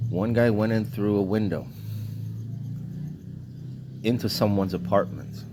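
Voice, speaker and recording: Deep-voiced man from Trinidad and Tobago, ranting. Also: a little slow, noisy background. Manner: blunt, indignant, swears, short clipped sentences. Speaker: vlogger. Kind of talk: ranting monologue.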